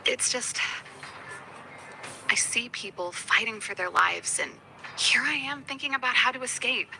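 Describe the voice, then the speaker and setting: A woman's voice: sits high, bright, forceful tone, full character voice. An actress playing a spirited young rocker woman in a video game, casual and candid. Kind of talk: conversational video game voice acting.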